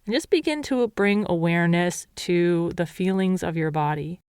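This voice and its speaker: A woman meditation teacher leading a guided meditation in a calm, measured, instructional way. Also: lowish voice, smooth, no room sound, studio quality.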